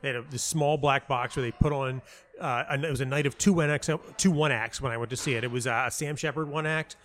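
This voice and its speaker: An American man in a relaxed, reflective interview conversation. He talks as a guest telling stories, circling back, repeating words and breaking off mid-phrase. His voice sits at an ordinary middle pitch for a man. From United States (North Carolina). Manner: halting, self-interrupting, rambling storyteller with repeated words. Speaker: interview guest, playwright and audio dramatist. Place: hotel lobby.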